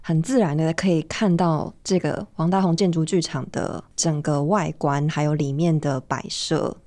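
A woman's voice: low-pitched, fairly even intonation, moderate pace, warm tone, no echo. A woman with a Taiwanese Mandarin accent speaking casually as a guest in an interview. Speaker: podcast guest, actress.

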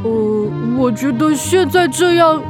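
Crybaby witch aunt for children's radio play: high, unhurried, huffy, stammering, easily flustered. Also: animated, music in the background. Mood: huffy, defensive. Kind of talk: children's audio drama acting.

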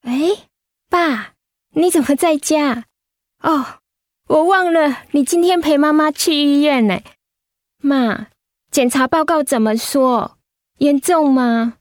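A timid high school girl in a radio drama, speaking Taiwanese Mandarin in a high voice. She is anxious and hesitant, taking her words slowly. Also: no echo, clean studio sound. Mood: concerned. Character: worried, timid seventeen-year-old high school girl.

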